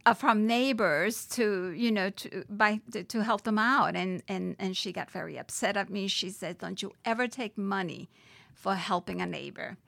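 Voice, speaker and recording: An older woman from Puerto Rico, a judge, speaking as a podcast interview guest in a reflective way with many pauses, fillers and restarts. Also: medium pitch, vocal fry, a bit bright, no echo.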